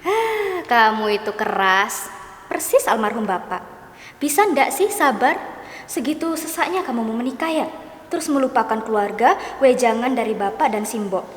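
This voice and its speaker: A woman's voice: on the high side, animated, normal speed, natural voice. A woman playing a wry older sister who dodges questions and scolds her younger sibling, in a staged dramatic reading. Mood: scolding. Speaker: dramatic reader. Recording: echoey hall with long reverb.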